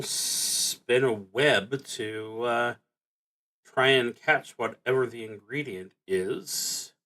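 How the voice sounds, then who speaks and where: Slow-paced man musing over a solo game. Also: mid-range voice, a bit bright, low-quality recording. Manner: musing, halting, wry. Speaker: streamer, solo roleplaying game player. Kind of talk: thinking aloud while narrating a solo tabletop game.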